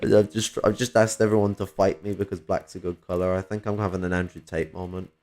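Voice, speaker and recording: Rambling Northern English man, low voice. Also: flat delivery, fairly quick, mellow, a little hiss or hum. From Northern England. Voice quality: slightly whiny. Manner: rambling, swears often, many fillers and restarts. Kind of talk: casual political chat on a stream.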